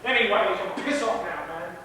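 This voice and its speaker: An actor playing a scornful, blunt rival in a stage drama, with a taunting manner. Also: male voice, brisk, lightly acted. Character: scornful, blunt male rival in a love triangle. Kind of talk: theatrical stage drama acting. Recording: echoey hall, distant mic, faint rumbling noise.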